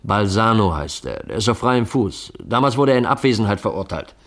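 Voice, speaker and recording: Pflichtbewusster Kriminalassistent mit tiefer Stimme. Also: male voice, fairly low pitch, expressive, a little fast, a bit bright. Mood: matter-of-fact. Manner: methodical, reporting and reading out files. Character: dutiful male police assistant, matter-of-fact, deferring to chief. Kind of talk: 1950s German radio crime drama acting.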